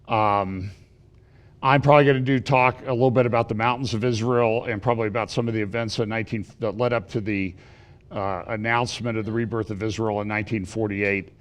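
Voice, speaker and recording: A middle-aged man, slightly raspy from feeling unwell, giving a rambling prophecy update at church with many fillers and restarts. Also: lowish voice, a bit bright. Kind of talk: casual prophecy update talk.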